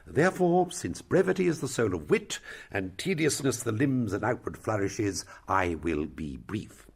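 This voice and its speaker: Man playing a pompous, husky old counsellor. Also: on the low side, big pitch swings, measured pace, heavily acted. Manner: pompous, fussy. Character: self-important, long-winded old royal counsellor. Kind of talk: Shakespearean stage-style acting.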